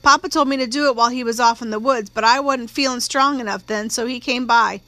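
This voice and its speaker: Audiobook role: woman reader as scared, defensive country girl, nineteen, bright high voice, folksy grammar. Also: fast talker, dry recording. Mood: agitated, defensive. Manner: folksy, ungrammatical country speech. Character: young rural girl of nineteen, fearful, tearful, stubbornly defensive. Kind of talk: acted audiobook dialogue.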